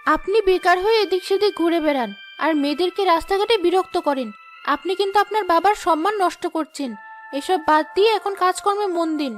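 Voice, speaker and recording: A woman's voice: very high-pitched, a little fast, soft background music. A woman voicing a proper, firm teenage village girl in a cartoon, politely but firmly scolding. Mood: indignant. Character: proper, firm sixteen-year-old poor village girl. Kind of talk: Bengali cartoon voice acting.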